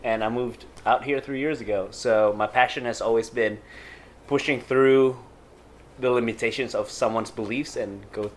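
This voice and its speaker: A man's voice: medium pitch, steady tone, moderate pace, slight background noise. A young man from Indonesia, an athlete guest who talks in an upbeat way, laughs often and speaks slightly broken English. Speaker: guest, athlete and personal trainer. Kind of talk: casual interview chat.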